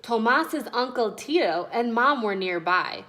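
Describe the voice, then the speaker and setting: A woman reading a children's story aloud in an expressive, deliberate, instructional way. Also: on the high side. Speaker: read-aloud narrator.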